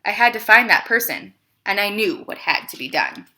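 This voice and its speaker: A clever, slightly sheepish emperor read by a woman tells his own storybook tale. The voice is bright and mid-pitched, confiding and expressive, full of rhetorical questions and self-justification. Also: normal speed. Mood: scheming, dramatic. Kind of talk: children's storybook read-aloud.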